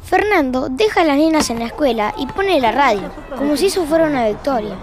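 High-voiced Argentine woman narrating. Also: animated, a little fast, crowd noise. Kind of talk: scripted, wry audio fiction narration.

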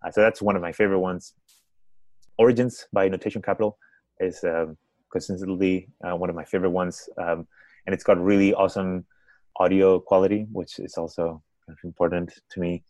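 A man's voice: deep voice, flat delivery, fairly quick, darkish tone, no echo. A man with a Spanish accent, a startup founder answering podcast questions thoughtfully and often restarting his words. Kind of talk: conversational interview answers.

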